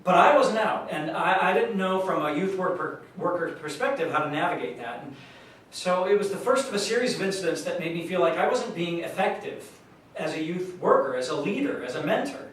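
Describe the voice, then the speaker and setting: A man's voice: high voice, big pitch swings, a little fast, a bit bright. Echoey, distant man acting an earnest youth worker. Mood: troubled, reflective. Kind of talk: staged reading monologue. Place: live staged reading in a large room. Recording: very echoey hall, distant mic, faint noise.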